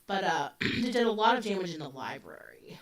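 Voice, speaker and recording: A woman's voice: mid-range voice, big pitch swings, brisk. A woman in her forties co-hosting a casual true-crime chat, talking loosely with lots of fillers. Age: 40s. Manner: loose and conversational, with frequent fillers. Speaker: podcast co-host. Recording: voice a bit distant from the mic.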